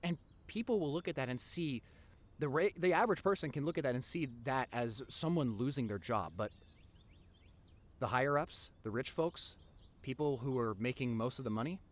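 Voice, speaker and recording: A man's voice: medium pitch, lively intonation, normal speed, close to an everyday voice. A man playing a nerdy, introverted young boyfriend in an intimate audio roleplay, earnest and rambling with a nervous stammer. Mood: indignant, passionate. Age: young adult. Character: nerdy introverted young boyfriend, wacky, tender, passionate artist.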